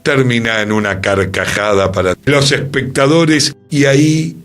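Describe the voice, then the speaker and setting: A man's voice: mid-range voice, unhurried, a bit bright. A man with an Argentine accent co-hosting a radio show and presenting his column in a conversational style. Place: radio studio. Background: faint music.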